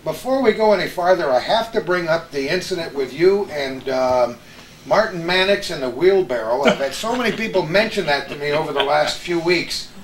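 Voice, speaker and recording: Older guy hosting a chatty reminiscence, voice a bit high, faint noise behind him. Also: expressive, normal speed, rough recording. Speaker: host of a reminiscing chat among radio veterans. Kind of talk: casual storytelling chat.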